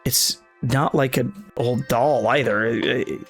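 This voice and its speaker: A man's voice: mid-range voice, steady tone, unhurried, faint music behind. A man reading a visual novel's dialogue and narration aloud for a let's play video, sometimes tripping over lines and correcting himself.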